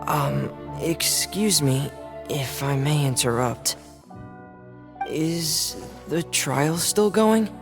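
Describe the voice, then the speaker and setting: A shy, dutiful teenage boy in a video game. He talks slowly and hesitantly, with a creaky edge to his polite voice, as music plays behind. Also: fairly high pitch. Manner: hesitant, polite, soft-mannered. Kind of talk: video game cutscene voice acting.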